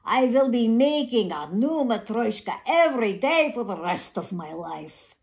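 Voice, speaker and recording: A woman's voice: on the high side, big pitch swings, unhurried, darkish tone, pitched above the performer's own voice. A woman voicing an exasperated, dramatic old grandfather in a storybook, with theatrical exclamations and sighs.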